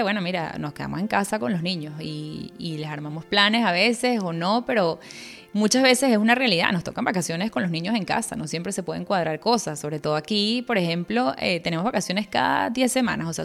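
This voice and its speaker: Venezuelan woman, 30s, kinda low voice w lots of fry, chatty podcast host thinking out loud. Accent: Venezuelan Spanish. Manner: chatty, thinks aloud with small restarts. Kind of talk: casual solo podcast monologue.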